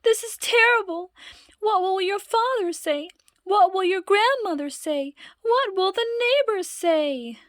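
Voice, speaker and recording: A woman putting on a frantic, fretting mother with shrill, high exclamations. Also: lively intonation, measured pace, a bit bright, no echo, studio quality, heavily acted. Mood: alarmed. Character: frantic shrieking mother of a young girl.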